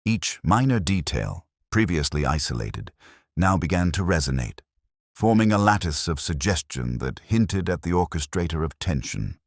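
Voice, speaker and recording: Very deep male voice, man acting as observant detective inspector, measured formal storytelling, expressive intonation, reflective mystery narration. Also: no room sound, studio quality, exaggerated voice. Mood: hushed, watchful. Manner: measured, formal, literary diction. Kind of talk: scripted first-person detective story narration.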